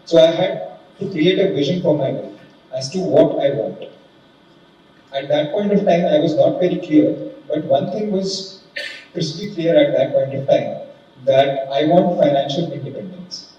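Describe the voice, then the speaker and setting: A man's voice: on the high side, unhurried, dark tone. A man in his twenties, a guest speaker, giving a formal, earnest motivational talk. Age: 20s. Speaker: guest speaker, civil judge. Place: large conference hall. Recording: echoey hall, distant and dull sound, faint noise.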